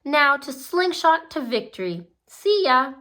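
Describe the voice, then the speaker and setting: A woman's voice: sits high, big pitch swings, unhurried, sharp tone, voice pushed up, heavily acted. A woman voicing a sneaky, smug young female race car driver in a read-aloud storybook. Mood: taunting. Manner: taunting and smug.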